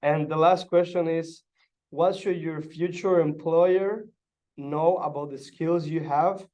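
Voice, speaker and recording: A man's voice: on the high side, fairly even intonation, takes it slowly, mellow. A man interviewing someone in a casual, encouraging and informal way.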